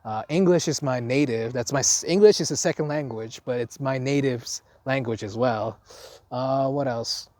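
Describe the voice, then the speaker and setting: A man's voice: medium pitch, darkish tone, no room sound. A young man from Indonesia with an Indonesian accent, telling his vlog audience about his life abroad in a loose, rambling way full of restarts and fillers. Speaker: vlogger, foreign teacher. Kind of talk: unscripted casual vlog talk.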